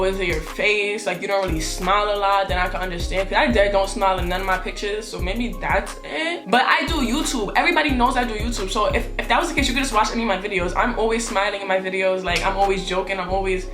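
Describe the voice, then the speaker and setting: A young woman with a New York accent, chatting casually to camera in a blunt, slangy, animated way, with a deep-sounding voice. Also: roomy sound. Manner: animated, talks with her hands, blunt slangy delivery. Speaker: YouTuber.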